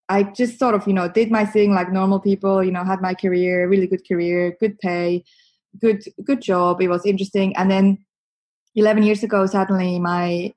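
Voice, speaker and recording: A woman with a Swiss accent speaks as an interview guest at a middle pitch. She talks animatedly and conversationally, with easy laughs. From Switzerland. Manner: animated, chatty, laughs often. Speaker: podcast guest, marketing agency founder.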